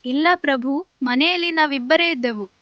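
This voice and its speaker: A woman's voice: high-pitched, moderate pace, no echo, lo-fi sound, close to an everyday voice. A woman voicing a young mother who pleads tearfully for her baby. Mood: pleading.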